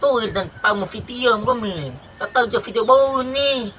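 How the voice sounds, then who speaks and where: A man's voice: very high voice, moderate pace, bright, forceful tone. A man co-hosting a family vlog, bantering and teasing in casual chat. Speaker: channel co-host. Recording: clearly noisy background.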